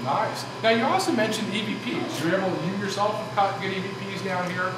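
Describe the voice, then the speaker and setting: High-voiced jokey man in an echoey room. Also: expressive, brisk. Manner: jokey, bantering. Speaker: host of a paranormal investigation show. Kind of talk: casual on-location interview. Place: inside an old saloon. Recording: echoey room with background noise.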